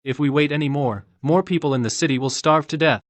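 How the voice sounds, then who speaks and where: A man playing a resolute, principled general in a dubbed motion comic, speaking in measured, terse commands. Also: a little high, no room sound, close to an everyday voice. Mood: firm command. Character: resolute male general, stern, principled, quietly defiant.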